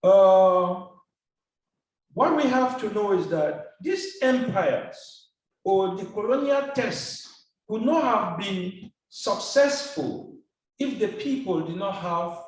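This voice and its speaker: A man from Cameroon giving a formal seminar presentation, speaking slowly and deliberately. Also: high voice, animated. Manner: slow, deliberate, formal phrasing. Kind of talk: lecture presentation. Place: seminar hall. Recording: echoey hall from a distance.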